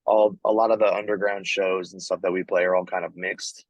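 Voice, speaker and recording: Low-voiced laid-back man, dry sound. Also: little pitch movement, fairly quick, no echo. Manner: laid-back, casual, lots of "like" and "you know". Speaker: band member guest. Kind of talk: casual chat.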